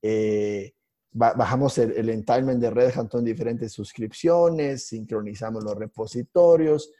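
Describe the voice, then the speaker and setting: Hombre de voz oscura, lleno de titubeos. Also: male voice, medium pitch. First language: Spanish. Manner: halting, many restarts and fillers, animated storytelling. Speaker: podcast co-host, IT instructor. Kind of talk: casual podcast conversation.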